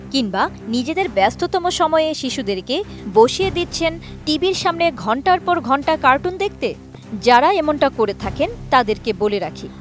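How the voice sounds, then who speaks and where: High, lively Bangladeshi woman news reporter. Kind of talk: scripted news report narration.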